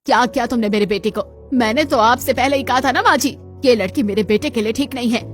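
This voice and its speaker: A woman's voice: a little high, fairly quick, soft background music, lightly acted. Scolding rich mother-in-law acted by a woman. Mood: indignant. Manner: reproachful, scolding. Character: accusing, indignant mother-in-law of a wealthy family. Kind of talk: Hindi moral-story cartoon dialogue.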